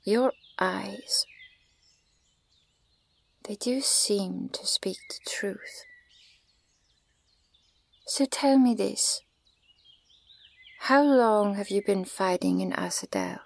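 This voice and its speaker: A woman playing a stern, guarded, ancient elven archer in an ASMR fantasy roleplay, speaking in a measured, formal and breathy way with a creaky edge. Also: medium pitch, sing-song, full character voice. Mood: guarded, appraising. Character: stern, guarded female elven archer, centuries old, scornful of humans.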